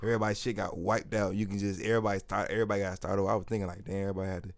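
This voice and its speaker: A man's voice: on the low side, flat delivery, racing, gravelly, no echo. A man with an Atlanta accent hosting a casual podcast, rambling through stories in slangy, profanity-laced talk. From Atlanta area, United States. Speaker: podcast host.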